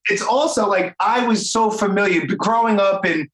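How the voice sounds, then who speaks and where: A man's voice: sits high, moderate pace, a loud crowd. Enthusiastic forties man, roomy echo. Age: 40s. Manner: enthusiastic, loose, many fillers. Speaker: podcast host. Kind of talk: casual interview chat.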